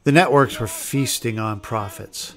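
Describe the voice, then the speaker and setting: A man's voice: medium pitch, measured pace, fairly bright tone. An American man reading scripted documentary narration.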